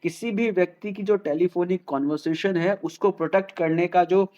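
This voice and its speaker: A man's voice: high-pitched, moderate pace, darkish tone, dull audio. An Indian man presenting an explainer in a didactic way, repeating key points and mixing Hindi with English. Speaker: host of a legal explainer channel.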